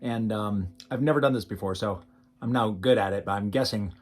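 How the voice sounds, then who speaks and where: A man's voice: fairly low pitch, a little fast. Casual, wryly self-deprecating man demonstrating gear. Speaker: hobbyist guitarist demonstrating a homemade project. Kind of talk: casual unscripted demo vlog.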